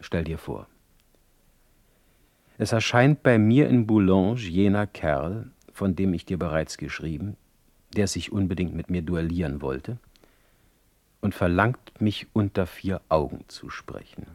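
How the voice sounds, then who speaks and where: Radio drama narrator: ailing exiled poet, very low voice, close dry sound, ironic, literary letter-reading. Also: male voice, measured pace, a little mellow, no echo. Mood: wry, confiding. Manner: articulate, literary, wry.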